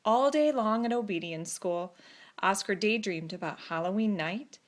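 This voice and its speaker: A woman narrating a children's story expressively, with deliberate phrasing and dramatic pauses. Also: mid-range voice. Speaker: storybook reader.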